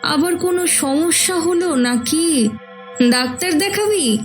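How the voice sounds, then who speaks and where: Woman voicing a high-pitched, fussing village mother. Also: expressive, slow, faint music behind, exaggerated voice. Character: caring, worried village mother of grown children. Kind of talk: horror cartoon dialogue.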